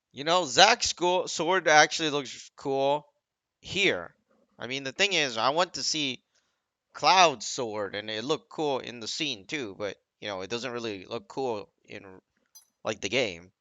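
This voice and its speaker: A man's voice: medium pitch, bright, forceful tone, dry recording. A man giving casual, off-the-cuff commentary over a video game.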